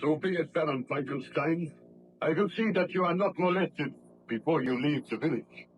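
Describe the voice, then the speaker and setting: An actor playing a stern, accusing village official with formal, declamatory speech in an old-time radio drama. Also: male voice, a little high, normal speed, soft background music, close to an everyday voice. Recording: noisy vintage radio drama recording.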